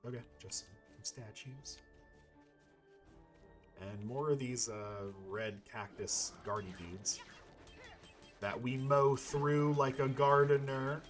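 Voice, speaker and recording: A man's voice: medium pitch, slow, pauses often, soft background music. A man giving casual let's-play commentary with wry, joking asides as he plays a video game. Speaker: video game player and host.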